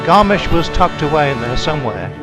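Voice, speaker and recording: A man narrates a travelogue from a script, with a voice pitched a little high. His delivery is measured and descriptive, in a storytelling manner. Music plays clearly behind him. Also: big pitch swings. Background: background music.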